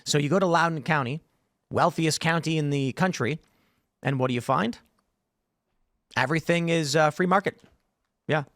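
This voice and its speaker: A man with a voice a bit on the high side hosts a political panel podcast. He talks casually and with strong opinions, often voicing both sides of imagined exchanges. The sound is close and dry. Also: normal speed, long pauses, fairly bright tone, no echo. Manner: opinionated, acts out imagined dialogue. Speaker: podcast host.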